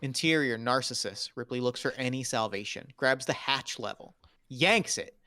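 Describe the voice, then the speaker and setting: A man's voice: medium pitch, normal speed, pushed and bright, no room sound, studio quality. A man reading screenplay stage directions aloud as dramatic narration at a table read.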